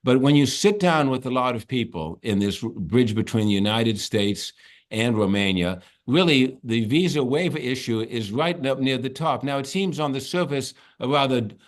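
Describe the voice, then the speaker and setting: A man hosting an interview, asking long, halting questions full of restarts. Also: mid-range voice, lively intonation. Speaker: interviewer and host. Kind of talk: conversational interview.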